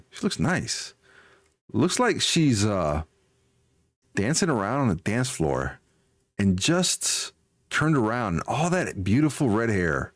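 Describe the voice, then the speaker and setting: A man's voice: mid-range voice, dry recording, clean studio sound. A man in his forties hosting a game livestream, chatting casually with viewers in an upbeat, folksy manner. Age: 40s. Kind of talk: casual livestream chat, greeting and answering viewers.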